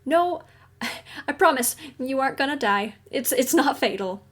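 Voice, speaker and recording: A woman playing a caring, reassuring counselor at a secret base in an audio roleplay, speaking gently and explaining things soothingly. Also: sits high, expressive, a little slow, full character voice. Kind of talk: audio roleplay addressed to the listener.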